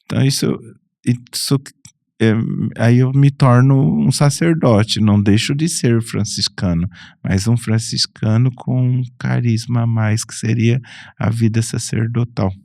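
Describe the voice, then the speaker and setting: A middle-aged man from Brazil, a Franciscan friar and priest, recounting his life in an unhurried, relaxed way, often restarting and correcting himself. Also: medium pitch, creaky, no room sound, studio quality. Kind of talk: relaxed personal storytelling.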